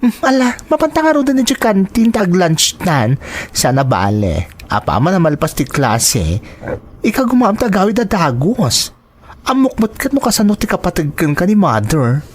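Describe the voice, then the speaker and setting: Wildly swooping, chummy schoolmate. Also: female voice, fairly low pitch, normal speed, husky. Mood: breezy, encouraging. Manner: breezy, expressive, slangy. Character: chummy, supportive young female schoolmate. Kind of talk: Ilocano radio drama acting.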